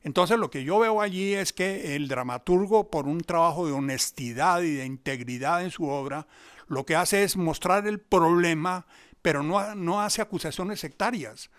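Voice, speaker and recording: A Colombian man speaks off the cuff as an interviewed commentator. His voice sits a little high for a man, and he explains and analyses reflectively as he goes. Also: normal speed, clear, resonant tone. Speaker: interviewed commentator on theatre and history. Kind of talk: spontaneous analytical talk.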